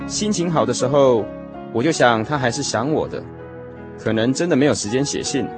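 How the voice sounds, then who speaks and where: An actor playing a lovesick young man, telling his lost first love in an expressive, emotional radio drama style. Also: mid-range voice, fairly quick, natural voice. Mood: wistful longing. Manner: expressive, sighing, emotional storytelling. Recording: music playing behind the voice. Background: music.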